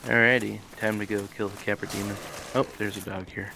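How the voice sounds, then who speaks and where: Low gravelly guy doing let's play commentary, flat monotone voice, noisy mic. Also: fairly quick. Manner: monotone, rambling, lots of fillers. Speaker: gaming channel host.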